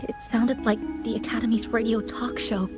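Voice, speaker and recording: A woman's voice: a little high, flat delivery, normal speed, loud music behind the voice, close to an everyday voice. An actress playing a sensitive, earnest, anxious young female student in a video game. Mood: surprised.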